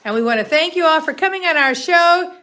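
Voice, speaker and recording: A woman's voice: high-pitched, expressive, fairly quick, sharp tone. Chatty middle-aged woman hosting. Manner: chatty, upbeat, hosting a family livestream. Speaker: host. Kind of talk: casual chat.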